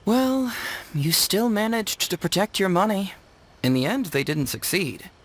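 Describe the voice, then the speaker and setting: A man voicing a witty, smooth-talking young detective in a video game, articulate and theatrical. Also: sits high, animated, measured pace. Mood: reassuring.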